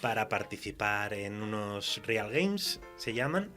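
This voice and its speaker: A man with an accent from Spain speaking as a guest author in a conversational radio interview, halting as he thinks aloud. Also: lowish voice, very animated, over music.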